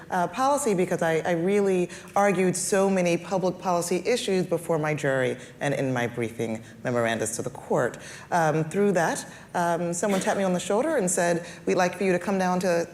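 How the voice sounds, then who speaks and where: A woman guest with a deeper voice answering on a panel, speaking in a deliberate, measured way with formal wording. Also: darkish tone. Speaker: guest, healthcare executive.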